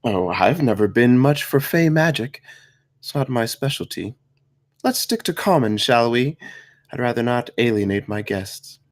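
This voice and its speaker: A man at a table read playing a female undead necromancer who is refined, unhurried, archly polite and casually menacing. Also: medium pitch, lively intonation, close to an everyday voice. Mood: genteel, archly polite.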